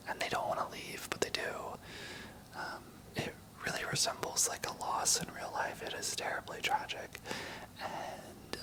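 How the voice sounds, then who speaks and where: A young adult whispering a casual ASMR-style movie recap, rambling softly with lots of "like" and "um". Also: medium pitch, poor audio. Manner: soft unvoiced whisper throughout; casual, rambling, many "like" and "um" fillers.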